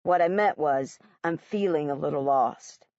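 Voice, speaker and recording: A woman voicing a weary, grieving father who speaks quietly and haltingly. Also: low voice, no echo, dull audio, pitched below the performer's own voice. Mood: weary grief.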